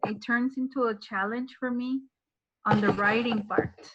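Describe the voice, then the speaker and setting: A woman's voice: a little high, fairly even intonation, a little slow, heavy background noise. Woman with a Spanish accent, lo-fi audio. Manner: careful, hesitant phrasing. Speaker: podcast guest. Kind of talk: casual conversation.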